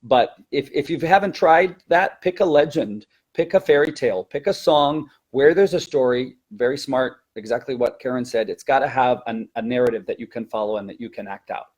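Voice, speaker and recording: A man's voice: mid-range voice, normal speed, few pauses, a little mellow. A man speaking as a language teacher and presenter in a conversational training webinar, emphatic, with rhetorical questions and stop-and-start phrasing.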